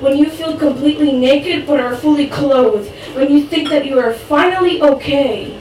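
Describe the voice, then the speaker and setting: A young woman from Croatia whose first language is Croatian, reading her spoken word poetry at an open mic in an earnest, rapid delivery. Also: sits high, darkish tone. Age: young adult. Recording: echoey room, distant mic, faint noise.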